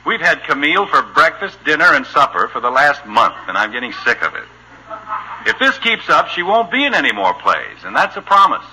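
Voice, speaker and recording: An impatient American family father in an old radio comedy. He is gruff and sardonic, with a very bright, forceful voice that swings up and down in irritation. The sound is that of a vintage broadcast. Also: on the high side, big pitch swings, a little fast, a little hiss or hum, exaggerated voice, rough recording. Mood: exasperated, scolding. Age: middle-aged. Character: exasperated, no-nonsense family father at the dinner table. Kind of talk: 1950s radio sitcom acting.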